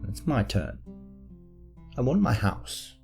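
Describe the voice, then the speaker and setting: A man's voice: medium pitch, sing-song, takes it slowly, gravelly, a little mellow, husky, faint music behind, full character voice. An actor playing a spoiled, arrogant nobleman who talks in a haughty, self-pitying way. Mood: petulant. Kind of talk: fan audio drama acting.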